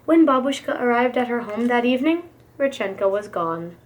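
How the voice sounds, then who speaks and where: Higher-voiced woman reading a storybook. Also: lively intonation, fairly quick. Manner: dramatic, careful storytelling. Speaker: preschool teacher reading a picture book. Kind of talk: expressive storybook narration for young children.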